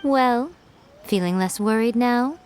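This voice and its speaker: High-voiced, sly fox-spirit lady. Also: slight background noise. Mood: reassuring, sly. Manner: teasing, unhurried, self-assured. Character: sly, teasing adult fox-spirit shrine maiden, self-assured. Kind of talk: video game character dialogue.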